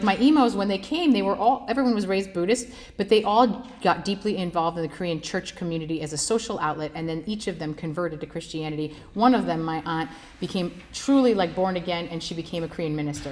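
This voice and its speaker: A woman rabbi telling her life story in a relaxed, conversational way, with many "like" fillers and easy laughs. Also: fairly low pitch, fast talker. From United States, Washington State. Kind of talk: personal storytelling talk.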